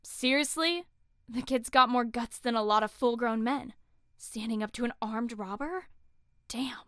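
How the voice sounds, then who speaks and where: Audio drama cop: candid, no-nonsense female officer, raised pitch, dry sound. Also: unhurried, a bit bright, no room sound. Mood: impressed.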